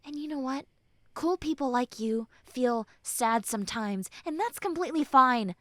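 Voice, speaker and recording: A woman playing a gruff tsundere friend who has turned tender and vulnerable, speaking softly and earnestly in an intimate roleplay audio. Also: high-pitched, animated, moderate pace, no room sound, studio quality. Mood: flustered, caring. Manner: earnest, hesitant, soft, often dropping to breathy near-whispers.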